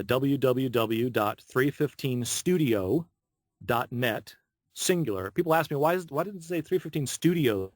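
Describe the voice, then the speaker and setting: A man talking casually as a voice actor guest on an interview show, chatty and telling stories in an animated way. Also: medium pitch, no room sound, studio quality.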